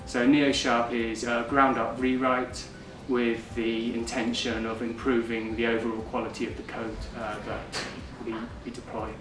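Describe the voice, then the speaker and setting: A man explains his software work in a flat, level voice. He sits back from the mic in a roomy space. Music plays clearly behind him. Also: mid-range voice, measured pace, far from the mic. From United Kingdom. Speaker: software developer. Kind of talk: interview explanation. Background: background music.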